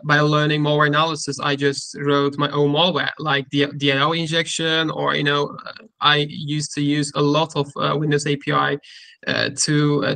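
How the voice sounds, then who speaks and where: A man with a Turkish accent hosting a casual technical interview, speaking haltingly and often repeating and rephrasing his words. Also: a little high, gravelly, pushed and bright, dry recording. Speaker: interviewer and cybersecurity content creator.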